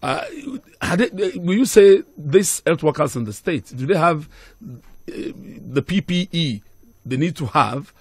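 Nigerian male radio host, middle-aged, slightly high voice, dry close-mic studio sound. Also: animated, measured pace, husky, no room sound. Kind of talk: live news interview. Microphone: close studio microphone on boom.

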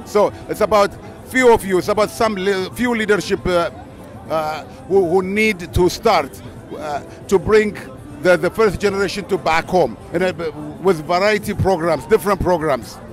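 A middle-aged man speaking earnestly to his son in a halting way, with many restarts, outdoors at a night festival. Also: high voice, soft background music, some chatter behind. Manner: halting, repeats words, earnest. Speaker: interviewee, host's father. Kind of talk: heartfelt spontaneous speech. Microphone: handheld mic.

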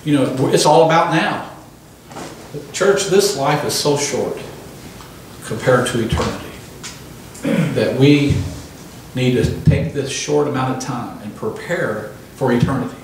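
A man's voice: mid-range voice, a little slow, pauses often. An older man delivers an emphatic sermon through a handheld mic, often calling for an amen. The small hall echoes heavily, and the voice is picked up from a distance with faint background hiss. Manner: emphatic preaching with call-and-response amens. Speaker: pastor. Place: small church hall, indoors. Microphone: handheld microphone. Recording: echoey room from a distant camera.

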